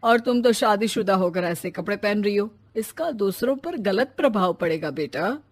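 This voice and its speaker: A woman's voice: mid-range voice, expressive, moderate pace, husky, no room sound. A woman playing a judgmental middle-aged man who scolds and moralizes in a moral story. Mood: lecturing. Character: preachy, judgmental middle-aged male airline passenger and investor.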